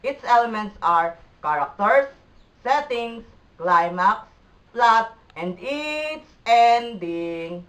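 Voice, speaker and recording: A woman's voice: a little high, lively intonation, takes it slowly, fairly bright tone, far from the mic, low-quality recording. A woman playing a nerdy schoolboy who recites textbook answers formally in an amateur puppet show. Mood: studious.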